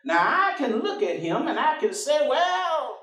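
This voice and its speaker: An actor in a staged play reading, playing a warm, stubborn, devout working-class man with a folksy, teasing manner. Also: extremely high pitch, very animated, heavily acted. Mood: musing, amused. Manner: folksy, teasing, with chuckles and drawn-out phrases. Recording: roomy echoing stage, distant microphone.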